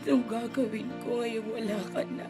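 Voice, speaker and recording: A woman's voice: fairly high pitch, steady tone, normal speed, over loud music, lightly acted. A woman playing a sobbing grieving mother. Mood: sobbing grief. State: crying. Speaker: radio drama actor. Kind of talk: radio drama acting.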